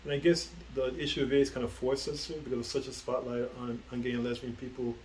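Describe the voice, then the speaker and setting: Middle-aged man, rambling and halting. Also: mid-range voice, flat delivery, a little mellow, reverberant, low-quality recording. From the Bronx, New York. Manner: rambling, halting, many fillers and restarts. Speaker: guest, comic book writer. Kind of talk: casual interview conversation. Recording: faint background noise.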